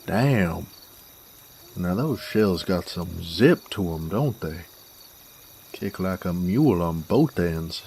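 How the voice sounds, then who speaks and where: Deep, slow-drawling Southern cowboy rogue, acted by a man. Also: lively intonation, gravelly, a little mellow, slight background noise, full character voice. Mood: smug, taunting. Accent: American Southern. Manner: drawling, folksy, teasing. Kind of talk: scripted roleplay audio drama.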